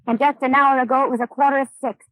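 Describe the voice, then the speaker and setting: A woman's voice: high voice, flat delivery, fast, fairly bright tone, dry recording, poor audio. A woman playing a cheeky housemaid in a 1930s radio comedy, delivering wisecracks matter-of-factly.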